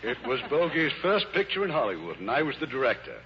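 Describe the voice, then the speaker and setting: A man's voice: mid-range voice, very animated, quick pace. A man hosting scripted curtain-call banter on a vintage radio show. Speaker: show host and director. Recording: muffled vintage radio, faint hiss.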